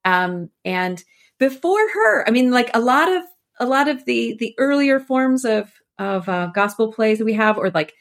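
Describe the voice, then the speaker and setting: A woman speaking as an expert guest in a conversational podcast interview, explaining things informally with animated asides. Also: a little high, moderate pace. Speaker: expert guest, annotated edition editor.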